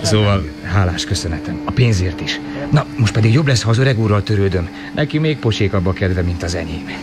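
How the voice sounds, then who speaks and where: A man's voice: lowish voice, expressive, natural voice. A man playing a grateful, optimistic teenage boy in a radio drama, eager and good-humoured. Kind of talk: radio play acting.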